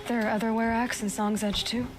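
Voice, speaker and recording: An actress playing a determined, inquisitive young huntress in a video game, with a direct, dry way of speaking. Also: female voice, a little high, flat delivery, fairly quick, over music, close to an everyday voice. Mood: probing. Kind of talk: video game dialogue acting.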